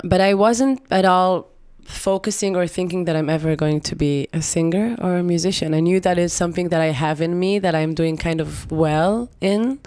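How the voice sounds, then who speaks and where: A woman's voice: lowish voice, darkish tone, smooth, no echo. A woman, a singer-songwriter guest on a podcast, speaking expressively and searching for words. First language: Hebrew. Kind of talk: reflective podcast conversation.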